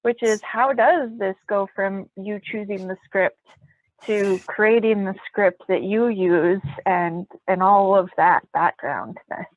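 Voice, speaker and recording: A woman's voice: mid-range voice, slow, dry recording, phone-quality sound. A woman casually moderating a question and answer session on a video call, with thin call audio. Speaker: moderator reading audience questions. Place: remote group video call.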